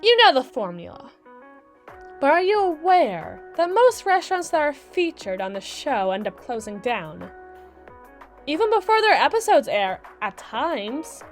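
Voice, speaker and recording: A woman playing a brisk, condescending mermaid lawyer in a scripted ASMR roleplay, articulate and pointed, lecturing with deliberate dramatic pauses and dry wit. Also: high voice. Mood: sardonic, lecturing. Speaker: audio roleplay performer. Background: background music.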